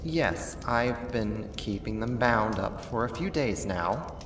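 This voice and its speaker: A sadistic half-spider monster, acted out by a performer for an ASMR horror roleplay. The delivery is unhurried and theatrical, dripping with condescension toward a human captive. The pitch is moderate, with some background noise under the voice. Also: animated. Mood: smug, possessive.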